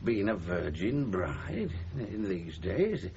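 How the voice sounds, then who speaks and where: Kindly uncle, slow and halting, expressive melody, middle-aged, dull old radio drama recording. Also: male voice, sits low, crowd noise, heavily acted. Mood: reassuring, thoughtful. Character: kindly, frank uncle reassuring a young bride. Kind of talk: 1970s radio drama acting. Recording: dull, hissy 1970s radio broadcast.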